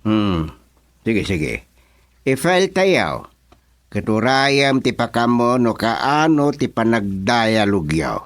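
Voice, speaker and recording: A performer playing a village chairman who placates quarrelling neighbours with hemming, drawn-out replies in a comedic radio drama. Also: mid-range voice, big pitch swings, dry recording. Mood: placating.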